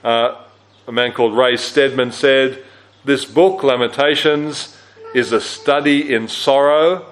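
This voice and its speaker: A man with a low-register voice leads a church camp Bible study in a relaxed, conversational way. He fills pauses with "uh" and corrects himself as he goes. His pitch sits in the middle range. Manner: conversational, with frequent fillers and self-corrections. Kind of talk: teaching a Bible study talk.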